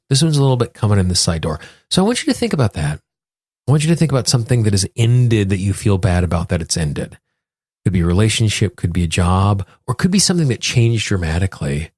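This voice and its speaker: A man's voice: lowish voice, a little mellow, dry recording, clean studio sound. A man speaking as a podcast host and coach, explaining and instructing the listener directly in a conversational self-help style. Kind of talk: conversational self-help talk and guided tapping exercise.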